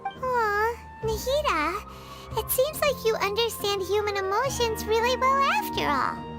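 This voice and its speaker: A woman voicing a small, excitable companion sprite in a video game, with a squeaky childlike voice. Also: a little slow, exaggerated voice. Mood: eager. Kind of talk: video game dialogue acting. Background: loud game music.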